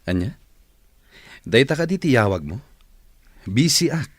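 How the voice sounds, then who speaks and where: A man's voice: mid-range voice, sing-song, measured pace, husky, no room sound, studio quality. A man playing a brash young company boss in an Ilocano radio comedy drama, with an Ilocano accent. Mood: curt, stern.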